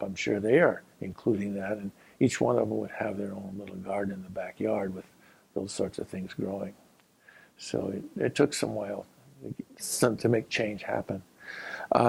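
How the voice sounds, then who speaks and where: An older man with a low, deeply gravelly and husky voice tells stories from his years abroad. He speaks unhurriedly, stopping often to search for words and filling the gaps with "uh". He sounds like a retired professor reminiscing. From Colorado, USA. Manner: unhurried, halting, with frequent pauses and "uh" fillers. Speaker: former Peace Corps volunteer and geography professor. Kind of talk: reminiscing personal story.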